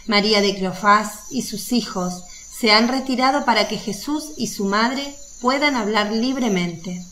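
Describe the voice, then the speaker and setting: A woman narrating a religious radio drama from a script, in a measured storytelling way. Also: a little high, a bit bright, slight background noise.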